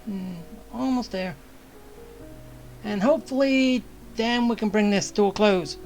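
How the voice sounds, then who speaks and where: A woman's voice: medium pitch, a little slow, a little mellow, soft background music. A woman streamer giving casual gameplay commentary with dry, sarcastic asides.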